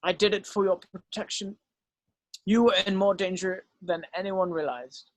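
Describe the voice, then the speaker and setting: A grave old headmaster of a wizard school, played by a man, with a notably high voice and a dry, close sound. He explains things slowly and solemnly, weary and full of gentle regret, as in a dramatic table read. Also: fairly even intonation, no echo, lightly acted. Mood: heavy, confessional. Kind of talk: dramatic script read-through.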